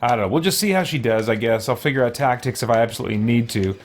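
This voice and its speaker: A man's voice: medium pitch, very fast, a little hiss or hum. A man giving casual let's play commentary as a video host, thinking aloud with muttered asides and exclamations.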